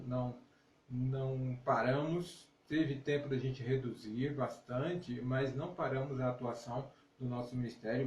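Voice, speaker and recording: A man's voice: medium pitch, a little mellow. A middle-aged man hosting a casual devotional live stream, encouraging listeners conversationally with frequent check-in tags. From northeastern Brazil (born in Alagoas, raised in Paraíba). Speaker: ministry leader and live-stream host. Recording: roomy, distant mic with clear noise.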